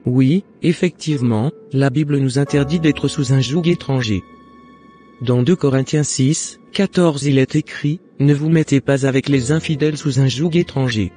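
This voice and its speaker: Mellow, pious motherly counsellor, acted by a man. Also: mid-range voice, faint music behind, natural voice. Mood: instructive. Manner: didactic, measured. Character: wise motherly older woman counsellor, calm and pious. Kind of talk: scripted animated drama, preachy counsel.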